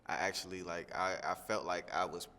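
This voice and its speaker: A young man, a singing contestant, answering interview questions in a relaxed, rambling way with lots of fillers. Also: deep voice, normal speed, long reverb tail. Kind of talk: press junket soundbite interview.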